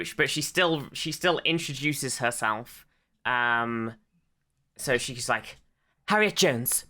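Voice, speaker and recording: A man's voice: medium pitch, sharp tone. A young man co-hosting a podcast, rambling with restarts and fillers as he retells favourite moments. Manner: rambling, full of restarts and fillers, giggles while retelling jokes. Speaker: podcast co-host. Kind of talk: casual chat.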